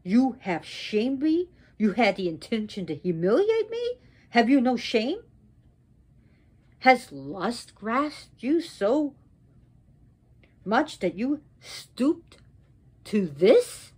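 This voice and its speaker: Imperious, indignant goddess of myth, performed by a female storyteller; high, emphatic, deliberate. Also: animated, warm tone, husky, exaggerated voice. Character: furious, imperious wronged goddess pronouncing curses. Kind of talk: oral mythological storytelling.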